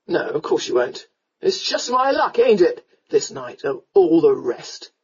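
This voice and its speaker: Storybook drunken gentleman rake, played by a woman: lowered pitch, slurred and drawling, roguishly amused, colloquial. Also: big pitch swings, unhurried, husky, lo-fi sound, exaggerated voice. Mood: rueful, teasing.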